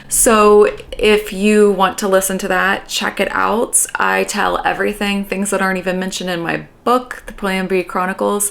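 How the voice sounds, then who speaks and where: A woman therapist chatting casually in a rambling way, with a noticeable vocal fry in her voice. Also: on the low side, fairly bright tone. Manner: chatty and rambling, sometimes dropping to a whisper. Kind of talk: casual reaction commentary.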